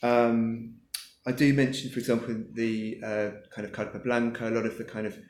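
A man's voice: medium pitch, flat delivery, moderate pace, a little mellow, a little hiss or hum. A man, the author at his book launch, answering audience questions hesitantly with many fillers as he thinks aloud. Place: lecture room with an audience. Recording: echoey room.

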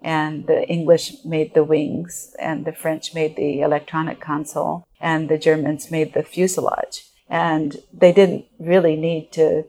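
A woman's voice: low-pitched, level tone, unhurried, hardly any pauses, warm tone. A middle-aged woman from Japan, a guest expert on organizational culture, explaining her ideas in a thinking-aloud interview manner with restarts. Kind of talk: conversational interview answers.

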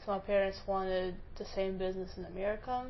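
A young college student from Russia, a native Russian speaker, answering interview questions casually with lots of "like" and quick self-corrections. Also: female voice, mid-range voice, level tone, gravelly, lo-fi sound, slight background noise. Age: young adult.

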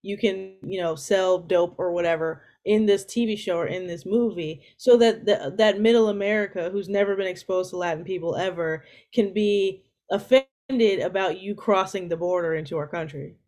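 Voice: mid-range voice, moderate pace, few pauses, darkish tone. Blunt speaker with dry asides. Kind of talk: casual conversation.

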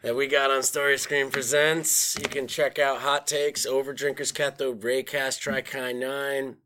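A man's voice: mid-range voice, little pitch movement, measured pace, bright, forceful tone, a little hiss or hum. A man hosting a podcast, chatting casually and plugging the show, loose and swearing casually. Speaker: podcast host. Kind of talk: casual chat with show plugs.

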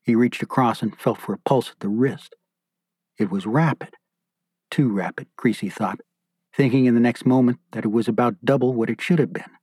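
A man's voice: medium pitch, normal speed, a little mellow, slightly rough, clean studio sound. A man narrating a scripted horror story as an author and audiobook narrator. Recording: roomy echo, faint music behind.

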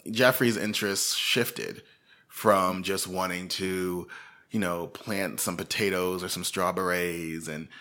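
Chatty lower-voiced man, bright tone. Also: fairly even intonation, slow. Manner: chatty, rambling, lots of fillers and laughs. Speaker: podcast co-host. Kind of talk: casual true-crime chat.

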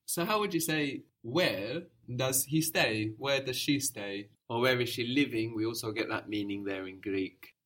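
A man leads a spoken language lesson at a patient, steady pace. He poses short prompts and answers each attempt with quick praise. His voice sits at an ordinary middle pitch. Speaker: language teacher.

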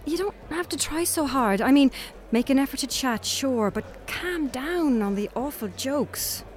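A woman's voice: sits high, lively intonation. An actress with an Irish accent playing a frank, weary woman on a first date in an audio drama. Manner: frank, dry, brisk. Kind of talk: naturalistic audio drama dialogue.

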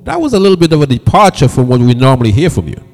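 A man's voice: mid-range voice, very animated, very fast, darkish tone. A man hosting a live music interview in an affirming, agreeable manner. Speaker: interview host. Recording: reverberant, echoing sound.

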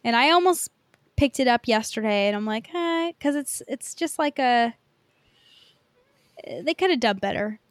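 Casual podcast host, American woman. Clean, resonant, higher-pitched voice with informal, "like"-peppered delivery. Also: animated, measured pace, pauses often, no echo.

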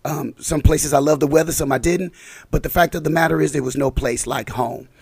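A man's voice: a little high, steady tone, a little fast, creaky, a little mellow, slightly rough, no room sound. A man from Los Angeles, a community leader, talks loosely with fillers and restarts, his voice a little scratchy from a cold. He sits close to a dry studio mic. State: slight cold, a bit scratchy. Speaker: community council president, guest. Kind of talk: casual interview talk.

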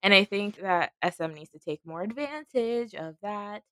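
A woman's voice: mid-range voice, expressive, normal speed, pushed and bright, dry recording, clean studio sound. A young woman co-hosting a podcast, chatting casually and filling her talk with "like". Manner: chatty, uses lots of "like" and "y'all". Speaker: podcast co-host. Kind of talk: casual chat.

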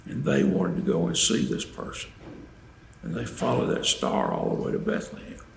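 Gravelly, low-voiced older storytelling man. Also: huge pitch swings. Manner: folksy, dramatic pauses and emphasis. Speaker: storyteller and preacher. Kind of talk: devotional holiday storytelling to an audience. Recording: roomy echo, faint background noise.

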